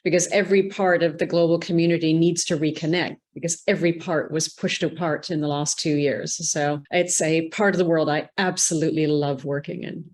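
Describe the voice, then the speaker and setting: Measured Canadian woman, fairly low voice. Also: steady tone, darkish tone. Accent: Canadian English. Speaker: guest. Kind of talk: podcast interview.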